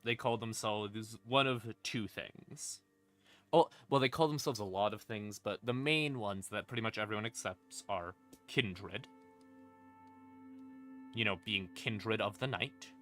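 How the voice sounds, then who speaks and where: A man's voice: lowish voice, a little slow, a bit bright. A man casually explaining lore as a streamer, irreverent and sweary, with wry asides. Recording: eerie ambient music behind voice. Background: creepy ambient music.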